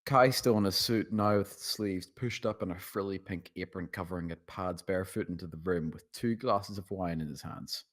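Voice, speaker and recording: A man with a low voice narrates a screenplay. He reads out scene headings and stage directions as scripted narration for a table read. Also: normal speed, few pauses.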